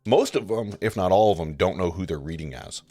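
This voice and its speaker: Animated low-voiced man hosting a livestream. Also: fairly quick, no echo, faint music behind. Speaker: livestream host. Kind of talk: casual livestream chat.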